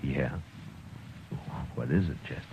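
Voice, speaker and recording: An actor playing a gruff, steady frontier marshal in a 1950s radio drama, talking in a deep, measured way. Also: male voice, slight background noise, full character voice. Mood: firm. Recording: dull vintage 1950s radio recording.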